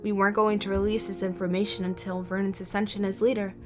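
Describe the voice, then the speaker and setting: A woman playing a composed vampire council member in a scripted roleplay, with formal, measured delivery. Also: medium pitch, level tone, over music, close to an everyday voice. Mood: calm, grave.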